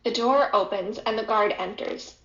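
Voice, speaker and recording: A woman's voice: fairly high pitch, moderate pace, fairly bright tone. A woman reading stage directions as a scripted narration. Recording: echoey room, dull lo-fi audio.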